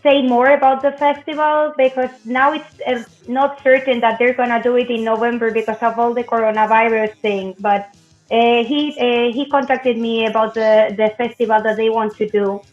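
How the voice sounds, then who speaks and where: An earnest woman explains her research as a guest, pausing often to find her words. Her slightly high voice comes through a muffled, lo-fi video call, with faint music behind. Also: fairly even intonation. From Spain.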